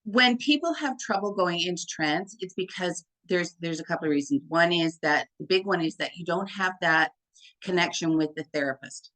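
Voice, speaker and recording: A woman with a deep, full voice, talking as a hypnotherapist guest in a casual interview, chatty and full of "like" and "right?". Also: lively intonation, moderate pace, fairly bright tone, poor audio. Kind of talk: casual conversation.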